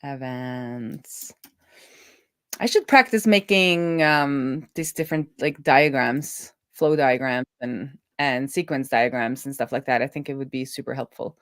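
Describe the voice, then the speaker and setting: A woman hosting a casual coding stream as a web developer, talking informally and thinking out loud as she works. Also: extremely low pitch, a little slow, no room sound, clean studio sound.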